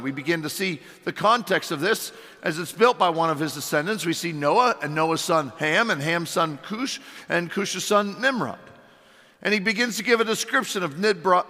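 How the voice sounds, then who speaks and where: A middle-aged man preaches in a church sanctuary, his voice worn hoarse and strained. He speaks high and bright, leaning on earnest rhetorical questions. The hall behind him rings with a long echo. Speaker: pastor. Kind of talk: sermon.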